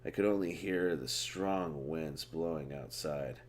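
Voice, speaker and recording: Tipsy guy with a really low voice reading a novel on stream, loose delivery, faint hum behind. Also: a little slow. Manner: loose, tipsy, stumbling over words. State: drinking, mildly drunk. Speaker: streamer reading a book aloud. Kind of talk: informal book narration with casual commentary.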